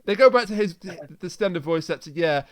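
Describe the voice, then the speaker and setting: A man's voice: on the high side, animated, moderate pace. A man hosting a podcast, casually recapping a story in a jokey way and acting out bits of dialogue. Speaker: podcast host.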